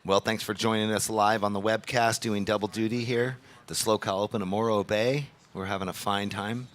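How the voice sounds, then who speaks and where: A man's voice: fairly low pitch, steady tone, normal speed. A man doing live commentary and announcing scores and priority at a surf contest. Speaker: webcast commentator and beach announcer.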